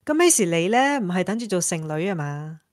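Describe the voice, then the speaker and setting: A woman's voice: on the low side, big pitch swings, a little fast, no echo. An actress playing a sassy, independent single woman in her thirties in an audio drama, teasing and sarcastic. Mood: teasing, frank. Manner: playful, sarcastic retorts. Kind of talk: Cantonese audio drama acting.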